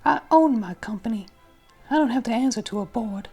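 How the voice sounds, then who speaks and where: A woman plays a self-assured, enigmatic rich businessman in an audiobook. The delivery is formal and suggestive with an arrogant edge, pitched fairly high for a woman, with music underneath. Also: lively intonation, a little fast, mellow, husky. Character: arrogant, enigmatic wealthy businessman being interviewed.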